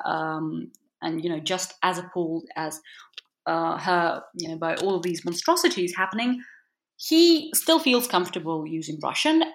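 A woman's voice: mid-range voice, fairly bright tone. Ukrainian woman in an echoey room, conversational. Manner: discursive, peppers talk with "you know". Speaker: interview guest, journalist and translator. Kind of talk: conversational interview answers. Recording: roomy, echoey sound.

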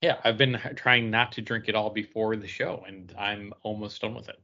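A man co-hosting a casual podcast, thinking aloud in a halting, conversational way. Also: fairly low pitch, fairly even intonation, bright, forceful tone. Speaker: podcast co-host. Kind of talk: casual chat. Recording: dull lo-fi recording.

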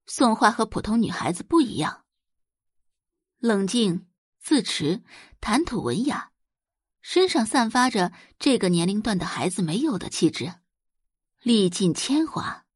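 A woman reading scripted fiction narration as an audiobook narrator, in an expressive storytelling style. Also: medium pitch, unhurried, long pauses, slightly rough, dry recording, clean studio sound.

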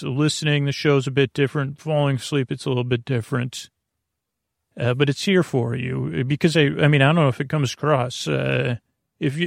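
Man, podcast host, creaky gravelly voice, halting and meandering bedtime monologue, mildly silly, very dry close sound. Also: medium pitch, dry recording. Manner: meandering, halting, self-interrupting; gently absurd, mildly humorous. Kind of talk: rambling scripted-loose bedtime podcast monologue.

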